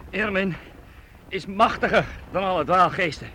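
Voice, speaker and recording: High-voiced, stubborn young wanderer. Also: male voice, moderate pace, sharp tone, slight background noise, poor audio. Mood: earnest, pained. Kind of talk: radio drama acting.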